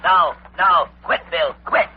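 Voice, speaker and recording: An actor voicing a sorry, dim-witted talking mountain lion with a husky, whining manner in old radio comedy style. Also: male voice, high voice, fairly even intonation, takes it slowly, very noisy. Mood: pleading, sheepish. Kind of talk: 1940s radio comedy acting. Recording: muffled, tinny old radio recording.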